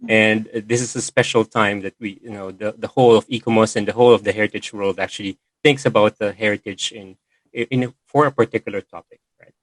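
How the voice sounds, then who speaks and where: Hesitant low-voiced man. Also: fairly even intonation, a little fast, no echo, low-quality recording. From Philippines. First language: Filipino. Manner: hesitant, many fillers and restarts. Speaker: session host and moderator. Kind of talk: online meeting presentation.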